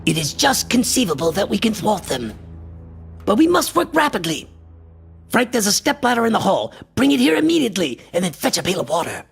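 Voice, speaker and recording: A man's voice: sits high, huge pitch swings, brisk, gravelly, slightly rough, faint music behind, exaggerated voice. A male actor playing a frantic, obsessed occultist in a horror radio play, declaiming in a theatrical, grandiose style. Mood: frantic urgency.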